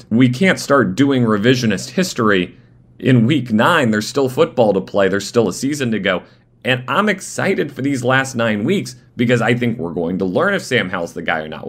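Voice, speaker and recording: A man hosting live sports talk radio, fighting a voice that is going, talking in restarts and quick asides. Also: fairly low pitch. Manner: animated, restarting phrases. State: losing his voice. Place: radio studio.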